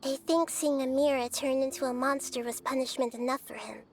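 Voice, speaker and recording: High-voiced, wise little child goddess. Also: female voice, steady tone, brisk. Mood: solemn. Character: small, gentle, wise female child deity. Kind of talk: video game dialogue acting.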